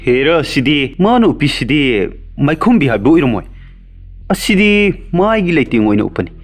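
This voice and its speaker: Man acting a lively, wry village youth. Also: fairly high pitch, very fast, soft background music. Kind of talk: audio drama acting.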